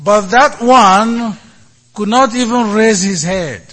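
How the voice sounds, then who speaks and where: A man giving a sermon as a priest, speaking in short, deliberate phrases with many pauses. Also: high-pitched, a bit bright.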